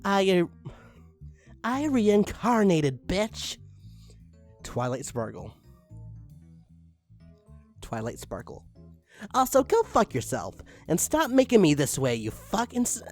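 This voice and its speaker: A man voicing a foul-mouthed female pony who yells at the author, cursing, with light music behind him. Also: high voice, big pitch swings, slow, long pauses, pitched above the performer's own voice, full character voice. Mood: angry. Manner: yelling, cursing.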